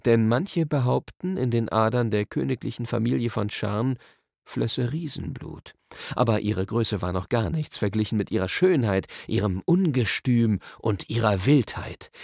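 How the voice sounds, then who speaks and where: A man's voice: fairly low pitch, vocal fry, a little mellow, no room sound, clean studio sound. A man reading a children's fantasy novel aloud as an audiobook narrator. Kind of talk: scripted storybook narration.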